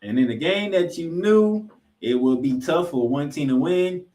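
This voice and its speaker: A man's voice: fairly high pitch, expressive, moderate pace, warm tone. A man hosting a sports podcast, giving recap commentary in an emphatic way and repeating points for effect. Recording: roomy, echoing room sound.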